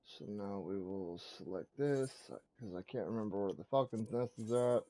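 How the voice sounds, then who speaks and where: A man's voice: fairly low pitch, moderate pace, warm tone. A man giving casual gameplay commentary as a streamer, talking through what he is doing. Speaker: game streamer.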